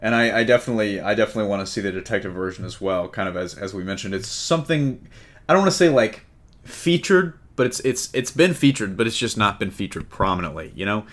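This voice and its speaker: Male podcast host, fairly low voice, chatting informally about comic book films, circling back over his phrases. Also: animated, quick pace, a bit bright. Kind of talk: casual fan discussion of comic book films.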